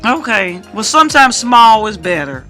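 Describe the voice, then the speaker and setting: A woman's voice: a little high, big pitch swings, normal speed, over music. A woman hosting a casual radio interview, chatty and encouraging as she puts questions to her guest. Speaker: radio show host, interviewer.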